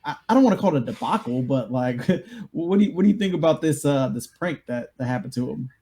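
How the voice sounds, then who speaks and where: A man's voice: a little high, animated, fast, warm tone. A man hosting a show, giving casual, conversational reactions. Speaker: video show host.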